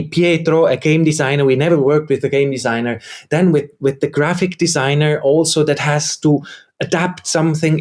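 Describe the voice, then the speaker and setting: A man's voice: on the high side, darkish tone. A man whose first language is German, a guest theater maker in an interview, who talks earnestly and often restarts and repeats words. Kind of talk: conversational interview.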